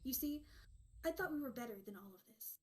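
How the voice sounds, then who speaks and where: A woman's voice: high voice, lively intonation, a little fast, faint music behind. A woman voicing a defiant young female captive in a visual novel, haughty and sarcastic. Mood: scornful. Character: defiant sharp-tongued captive woman, mocking, theatrical, unafraid.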